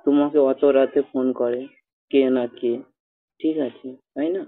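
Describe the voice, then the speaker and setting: A man's voice: medium pitch, fairly even intonation, normal speed. A young man on a phone call, defensive and dismissive, often cutting in with short replies. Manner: defensive, dismissive, repeats "ঠিক আছে". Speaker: caller in a phone argument. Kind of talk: phone argument. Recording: tinny phone call recording.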